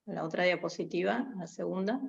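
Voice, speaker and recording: A woman giving an online theology class, speaking haltingly with many fillers. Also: on the low side, gravelly, muffled recording. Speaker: guest lecturer.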